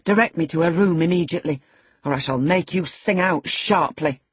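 A woman's voice: lowish voice, fairly quick, husky, no room sound. A woman voicing an indignant, loyal elderly woman servant who scolds with emphatic feeling, in an audiobook. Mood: stern.

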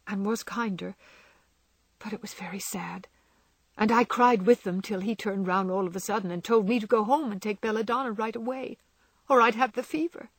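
A woman voicing a gentle, frail young girl who speaks softly, often near a whisper. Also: medium pitch, normal speed, no echo, pitched above the performer's own voice, close to an everyday voice. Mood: sad.

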